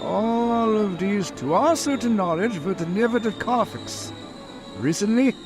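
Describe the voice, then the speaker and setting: An actor playing a grave, resolute professor who leads vampire hunters, declaiming in a vintage radio drama style. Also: male voice, high-pitched. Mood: resolute, urgent. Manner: declamatory, portentous, measured phrasing.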